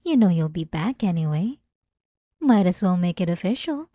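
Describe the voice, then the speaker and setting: A woman giving a hushed, intimate, teasing ASMR-style scripted intro as channel host. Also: on the low side, sing-song, normal speed, no echo.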